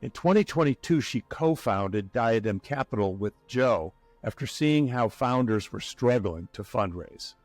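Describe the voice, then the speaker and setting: A man's voice: medium pitch, lively intonation, unhurried, few pauses, no echo, faint music behind. A man hosting a podcast interview, chatty, often repeating words as he starts a thought. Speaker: podcast host.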